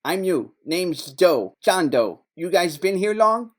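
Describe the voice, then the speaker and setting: A man's voice: high-pitched, big pitch swings, takes it slowly, full character voice. A man playing a dry, inquisitive private detective in a noir audio play. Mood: casual probing. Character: wry male private detective undercover in a slaughterhouse.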